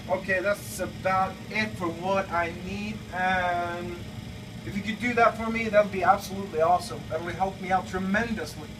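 A man's voice: sits high, noisy background. Rambling man on a distant camera mic. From Norway. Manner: chatty, rambling, many fillers and restarts. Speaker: flight simulator builder. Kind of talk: casual video request. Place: home-built airliner cockpit simulator, indoors. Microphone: camera held by his son a few feet away.

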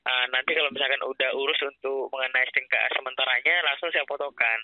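A man making a persuasive pitch over the phone, speaking insistently with some hesitation. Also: little pitch movement, quick pace, dry recording. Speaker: phone caller claiming to be a prize official. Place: on a phone call. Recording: narrow, dull phone line.

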